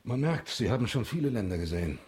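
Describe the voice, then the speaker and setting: An actor playing a dignified, self-important state prosecutor with formal, stilted speech in a German radio drama. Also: male voice, lowish voice, very animated, quick pace, a little mellow. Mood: condescending.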